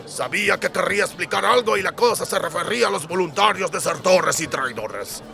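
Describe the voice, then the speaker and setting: A sardonic, foul-mouthed dwarf soldier acted out by a man for a fantasy audiobook. The voice is very forceful and gritty, on the high side for a male, with a blunt, gruff delivery. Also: animated, fairly quick, soft background music, full character voice. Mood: grim. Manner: blunt, sarcastic, swearing. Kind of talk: dramatised fantasy audiobook dialogue.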